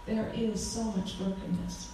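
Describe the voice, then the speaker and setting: A woman in her forties with a loud voice, giving a fervent talk as a guest speaker at a church, with frequent ums. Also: medium pitch, fairly even intonation, unhurried, slight background noise. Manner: earnest, fervent, with many ums. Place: church hall. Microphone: handheld microphone. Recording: echoey hall from far off.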